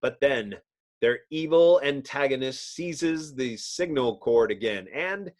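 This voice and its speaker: A man's voice: medium pitch, animated, takes it slowly. A man narrating a comic book as a dramatic reading, in a theatrical, bombastic manner. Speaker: narrator, host.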